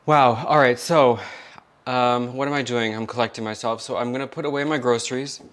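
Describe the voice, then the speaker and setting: A man's voice: mid-range voice, fairly even intonation, brisk, dry recording. A man chatting casually and rambling a bit as he vlogs his day. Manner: chatty, rambling, self-talking. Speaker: vlogger. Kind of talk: casual vlog chat.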